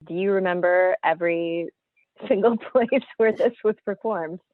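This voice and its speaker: A woman's voice: on the low side, unhurried, dry recording. A woman moderating a casual panel chat in a playful, laughing manner. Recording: dry, thin phone-like call audio.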